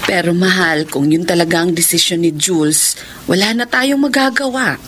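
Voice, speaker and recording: A woman's voice: fairly low pitch, normal speed, fairly bright tone, a little hiss or hum, natural voice. A woman with a Filipino accent playing a fretful, dramatic middle-aged mother and devoted wife in a radio drama. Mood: soft, reflective.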